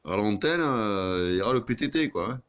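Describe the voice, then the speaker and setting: A man's voice: fairly low pitch, animated, moderate pace, fairly bright tone, dull audio. A man chatting casually as an amateur radio operator, with crude, joking, sweary banter.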